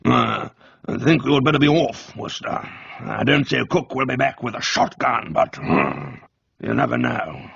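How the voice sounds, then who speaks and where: A genial, absent-minded old major acted by a man in a radio comedy. He bumbles along hesitantly in a gravelly voice, recorded a little dully. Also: medium pitch, expressive, unhurried, a bit bright, highs cut off, heavily acted. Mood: friendly warning. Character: friendly, vague, absent-minded older army major.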